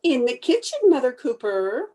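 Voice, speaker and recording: A woman's voice: medium pitch, very animated, slow pace, darkish tone. A woman playing a scatterbrained, wisecracking 1950s housewife in an old-time radio sitcom, with dry sarcasm and comic exasperation. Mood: sarcastic, panicky. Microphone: home microphone over video call.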